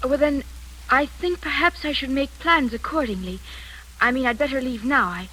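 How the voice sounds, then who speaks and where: A woman's voice: high-pitched, moderate pace, sharp tone, low-quality recording. An actress playing a hesitant, stammering young woman in her twenties applying as a secretary in a 1940s radio drama. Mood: nervous, hesitant. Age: 20s. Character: nervous young Irish secretary. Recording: old radio broadcast with faint hiss.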